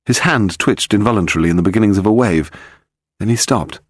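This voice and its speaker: A man's voice: on the low side, fast, husky, no room sound, studio quality. A man reading scripted narration of a crime story as an audiobook narrator.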